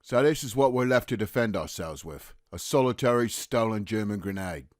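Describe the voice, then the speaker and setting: A man's voice: fairly low pitch, animated, normal speed, dry recording, studio quality, lightly acted. Resentful young Cockney private, played by a man. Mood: bitter. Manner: bitter, mocking, blunt. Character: resentful working-class Cockney private, aged nineteen. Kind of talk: audio drama acting.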